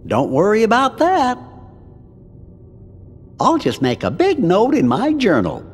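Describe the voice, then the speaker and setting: A tiny, kindly cartoon cricket for a video game. The voice is husky and high, swooping helpfully through slow phrases in a long reverb. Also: male voice, huge pitch swings, exaggerated voice. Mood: reassuring. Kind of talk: video game cutscene acting.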